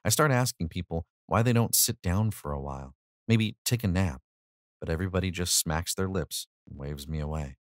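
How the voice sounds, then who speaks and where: A man's voice: low voice, moderate pace, no echo, clean studio sound. A man narrating a scripted short story as an audio reading.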